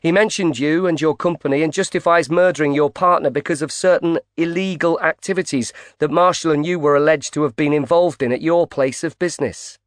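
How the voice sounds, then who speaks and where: A man's voice: on the high side, a little fast, few pauses, no room sound, clean studio sound, pitched above the performer's own voice, close to an everyday voice. A man voicing a pushy female tabloid reporter who talks in a challenging, pressing way.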